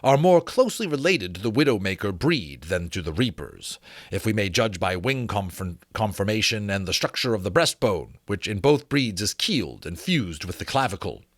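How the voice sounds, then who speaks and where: Learned old-world gentleman lecturer played by a male reader, pompous and formal, highly animated pitch, dry studio-close sound, storybook narration. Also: normal speed, dry recording. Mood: lecturing, emphatic. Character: pompous learned Georgian gentleman scholar writing on dragons. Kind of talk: dramatic storybook reading.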